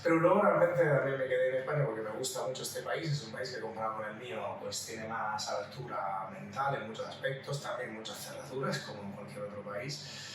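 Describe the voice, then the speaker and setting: A man's voice: on the low side, fairly even intonation, fairly quick. A man with an Italian accent chatting casually and jokily as a talkative podcast co-host. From Calabria, southern Italy. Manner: talkative, jokey. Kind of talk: casual chat. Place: living room at home. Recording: echoey room, distant mic, clear noise.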